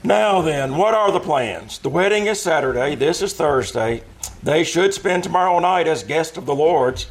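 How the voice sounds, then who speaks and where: Man playing a pompous, higher-voiced magazine boss. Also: animated, moderate pace, breathy edge, poor audio. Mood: businesslike. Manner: pompous, declaiming. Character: grandiose, bossy male magazine publisher. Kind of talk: 1940s radio acting.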